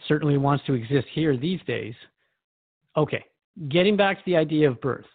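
A man's voice: fairly high pitch, lively intonation, normal speed, dry recording, narrow, tinny audio. A man playing a thoughtful, inquiring radio host, conversational and reflective as he interviews in a radio drama. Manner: reflective, questioning.

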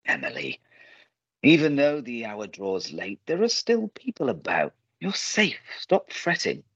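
A man playing an earnest, righteous, protective gentleman in a radio play, speaking formally and theatrically. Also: mid-range voice, huge pitch swings, a little slow, husky, dry recording, lo-fi sound, full character voice. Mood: reassuring.

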